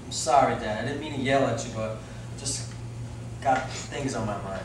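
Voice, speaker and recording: A man's voice: mid-range voice, moderate pace, natural voice. Man playing a troubled young boxer, very echoey. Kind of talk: staged play reading. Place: indoor room, live staged reading. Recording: echoey room, distant mic, faint noise.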